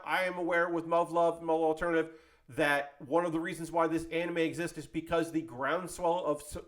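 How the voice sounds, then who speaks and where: Slightly high-voiced man, casual rambling anime host. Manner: self-deprecating, conversational, tangent-prone, mildly sweary. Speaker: host of an anime video series. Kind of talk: casual rambling vlog commentary.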